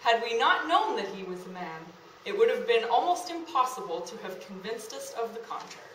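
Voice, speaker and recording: A young adult woman giving a dramatic, measured reading aloud from a script. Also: a little high, big pitch swings. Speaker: dramatic reader. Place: small indoor lecture room. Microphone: camera a few metres away. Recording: distant camera mic, echoey room, faint noise.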